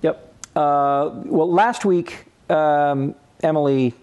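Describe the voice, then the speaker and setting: A man co-hosting a podcast, speaking off the cuff in a halting, measured way. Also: a little high, darkish tone, clean tone. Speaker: podcast co-host. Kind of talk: casual unscripted recommendation.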